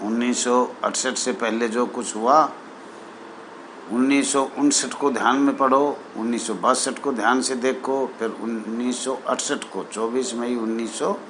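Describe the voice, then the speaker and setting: A man addressing association members as their leader, deliberate and repetitive, in formal official phrasing. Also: mid-range voice, a bit bright, slight background noise, rough recording.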